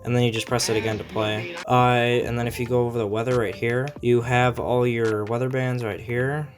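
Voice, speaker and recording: A man's voice: medium pitch, level tone, moderate pace. A man giving a casual, informal hands-on product review, explaining features as he goes. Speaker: gadget reviewer.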